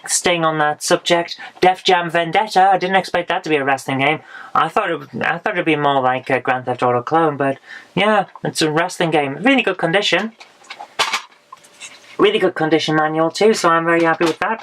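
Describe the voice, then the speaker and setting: A woman, hoarse from losing her voice, chatting frankly through a haul of retro games with dry asides. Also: low-pitched, background noise. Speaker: retro game vlogger. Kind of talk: casual game haul review.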